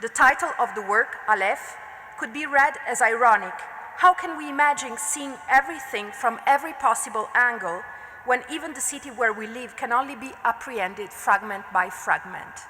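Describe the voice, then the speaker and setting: A woman with an Italian accent, a non-native speaker who is an art history researcher, reads a prepared academic lecture at a conference in a measured, deliberate way. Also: fairly high pitch, pushed and bright, a little hiss or hum. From Italy. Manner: measured, deliberate delivery with occasional slips and fillers. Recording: long reverb of a lecture hall.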